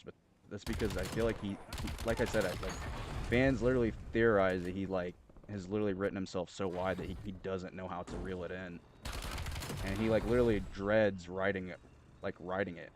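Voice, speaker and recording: Male streamer, very low voice, loose slangy rambling, halting and repetitive, clear game noise in the background. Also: steady tone. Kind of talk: casual rambling chat while gaming. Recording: gunfire and game noise behind. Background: video game gunfire and clicks.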